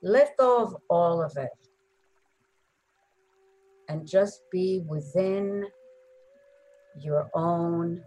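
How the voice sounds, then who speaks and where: A woman leading a guided meditation in a gentle, soothing manner, leaving long pauses between short phrases. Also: on the low side, soft background music, low-quality recording.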